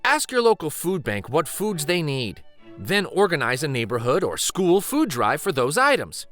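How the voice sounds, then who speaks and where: A man reading a children's picture book aloud in an expressive, deliberate, emphatic storytime style. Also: on the high side, faint music behind. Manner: deliberate, animated, emphatic phrasing.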